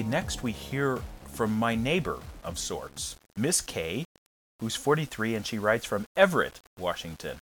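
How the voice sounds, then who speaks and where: A man's voice: on the low side, a bit bright. A man hosting an advice podcast, reading listener letters aloud and answering them in a blunt, jokey, frank way.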